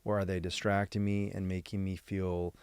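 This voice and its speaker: A man from San Diego hosts a podcast, his voice sitting on the low side. He rambles reflectively and thinks aloud as he goes. The recording is dry, with no room sound. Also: flat delivery, normal speed, a little mellow, clean tone, no echo. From San Diego, United States. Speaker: podcast host. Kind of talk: casual interview conversation.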